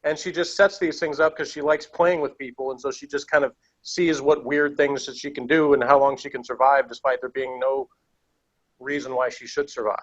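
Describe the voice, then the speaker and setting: A man's voice: medium pitch, steady tone, normal speed, dull audio. A man chatting casually as he reviews a TV show, with a blunt, opinionated manner. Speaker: podcast reviewer.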